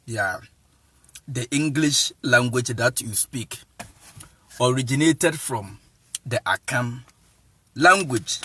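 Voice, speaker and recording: A man's voice: medium pitch, animated, slow, pauses often, sharp tone, husky. A man with a Ghanaian accent giving an informal lecture to viewers in an emphatic, repetitive way, phrase by phrase. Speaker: online evangelist and teacher.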